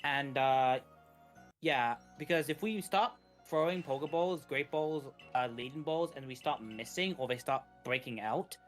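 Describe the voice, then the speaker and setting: A man co-commentates a live game speedrun in a casual, conversational style with a wry, tongue-in-cheek edge. His pitch is average for a man, and faint music plays behind him. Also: unhurried.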